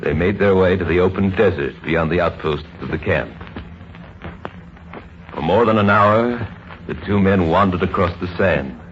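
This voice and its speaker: A man narrating a 1950s radio drama in a grave, deliberate, suspenseful scripted style. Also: low voice, slight background noise. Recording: muffled vintage radio recording. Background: dramatic music.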